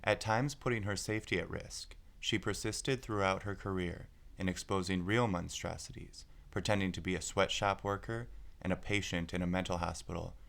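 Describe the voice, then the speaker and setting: A man with a deep voice reads a children's picture book aloud. There is plenty of vocal fry, and the scripted narration is clearly phrased with deliberate pauses. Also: fairly even intonation, a bit bright.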